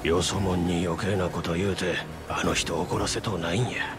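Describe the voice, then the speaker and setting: A man's voice: deep voice, steady tone, normal speed, over music, lightly acted. An actor playing a wary, evasive male street local with a Kansai accent, grumbling reluctantly in a video game. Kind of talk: video game drama acting.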